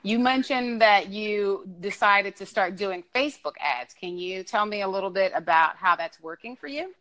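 A woman hosts a podcast in an upbeat, encouraging way, cheering her guest on between questions. Her voice sits at a middling pitch for a woman. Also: animated, moderate pace, pushed and bright. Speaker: podcast host. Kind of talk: casual interview and intro. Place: Brooklyn.

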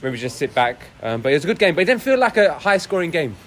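A man's voice: fairly high pitch, big pitch swings, fast talker, a crowd in the background. A man, an amateur footballer, talking casually through a match just played. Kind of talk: casual post-match chat.

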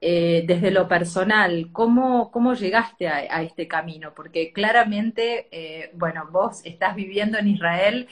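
A woman with a Rioplatense accent asks her guest questions in a relaxed, conversational way. Her pitch is ordinary and middle. She hesitates often, circling her words with soft fillers. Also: a little slow, hardly any pauses. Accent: Rioplatense Spanish. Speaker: interviewer, host. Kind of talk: interview, conversational.

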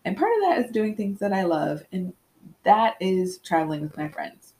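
A young woman hosting a candid solo podcast, talking reflectively with frequent pauses, slightly congested. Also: mid-range voice, expressive, darkish tone. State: congested with sniffles. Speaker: podcast host.

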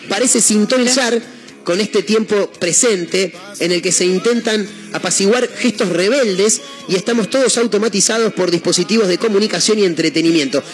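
This is a man with an Argentine accent hosting a casual radio show. His voice sits fairly high. He chats in a halting, easygoing way, often trailing off and correcting himself, while music plays clearly underneath. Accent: Argentine Spanish. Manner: chatty, halting, many fillers and self-corrections. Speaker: radio host. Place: radio studio.

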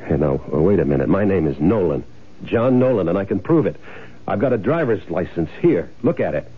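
A man's voice: low voice, sing-song, a little fast, breathy edge, heavily acted. An actor playing a sly, self-satisfied swindler who tells his own crime story in wry, casual slang, in the style of 1970s radio drama. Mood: defensive, nervous. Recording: tinny, band-limited old radio broadcast, music behind.

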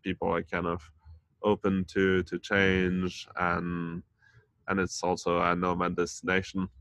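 Low gravelly man, casual and hesitant. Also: monotone, unhurried, fairly bright tone, no room sound. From France and Denmark. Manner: hesitant, many fillers and restarts. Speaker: community founder, podcast guest. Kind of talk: casual interview chat.

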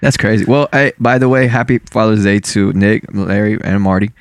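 Man, lowish voice, dry close sound, slangy profane storyteller. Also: flat delivery, normal speed, no echo. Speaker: podcast co-host. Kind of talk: casual storytelling chat.

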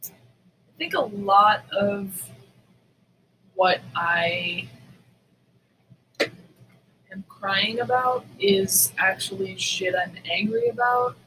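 Bright-voiced woman chatting candidly. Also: medium pitch, very slow, pauses often, slight background noise, low-quality recording. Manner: candid, sweary, animated storytelling. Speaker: podcast co-host. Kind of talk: casual chat.

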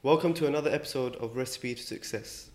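Slightly croaky man hosting a podcast. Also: medium pitch, fast. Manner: relaxed, many ums and filler words. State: a bit croaky, under the weather. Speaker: podcast host. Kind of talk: casual interview chat.

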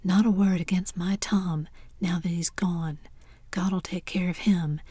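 A woman's voice: fairly low pitch, steady tone, measured pace, dark tone, slightly rough, no echo. A woman whispering as a grieving, pious old aunt in a storybook reading.

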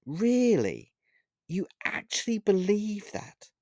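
A man voicing a scornful, playful female witch in an audiobook, mocking and theatrical, with drawn-out emphatic phrasing. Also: a little high, very animated, a little mellow, clean tone, no room sound, studio quality, voice pushed up. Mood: sly, taunting. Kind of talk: audiobook character voice.

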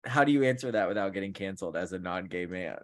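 Guy around thirty just chatting on a podcast, animated, lots of likes and ums. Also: low voice, fast. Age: 30s. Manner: animated, chatty storytelling with many fillers. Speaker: podcast co-host; counseling student. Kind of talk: casual chat.

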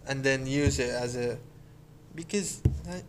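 Young man, halting and hedging speech. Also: mid-range voice, slight background noise. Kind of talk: casual chat.

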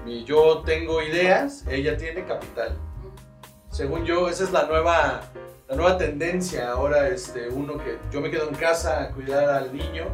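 A man with a Mexican accent and a fairly high voice hosts a casual comedy chat. He talks in a slangy, rambling, joking way. The sound is echoey and set back from the mic, with some music behind. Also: normal speed. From northern coastal Mexico. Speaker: podcast host. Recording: roomy echo, distant mic, music behind.

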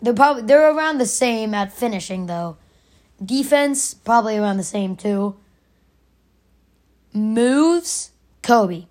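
A raspy young woman hosting her own podcast, arguing her opinion emphatically and repeating her points. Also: a little high, lively intonation, takes it slowly, pauses often, darkish tone. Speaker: podcast host. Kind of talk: opinionated solo podcast rant.

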